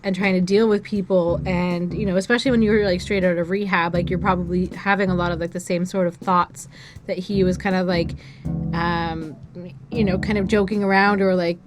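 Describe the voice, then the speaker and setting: A woman co-hosting a music podcast, chatting casually in loose, hesitant phrases peppered with filler words. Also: lowish voice. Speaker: podcast co-host reviewing music. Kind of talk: casual chat giving opinions. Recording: music playing behind the voice.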